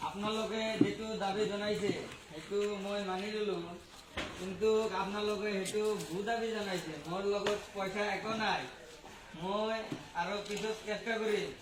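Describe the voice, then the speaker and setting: Very high voice, voice-changer effect, man as blustering village politician, comic skit, halting bombast, echoey room. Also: steady tone. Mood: self-important, placating. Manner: halting, bombastic, garbled broken English mixed in. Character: bumbling, blustering male village politician promising favours. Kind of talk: amateur comic skit speech. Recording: echoey, distant pickup with clear background noise.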